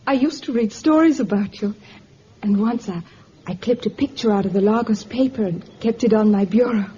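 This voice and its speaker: Female actor as tender young admirer; mellow, slightly high voice, hesitant, pleading, muffled old radio recording. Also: moderate pace, a little hiss or hum, close to an everyday voice. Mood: tender, shy. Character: slender young woman, tender, lovestruck admirer. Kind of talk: vintage radio drama acting.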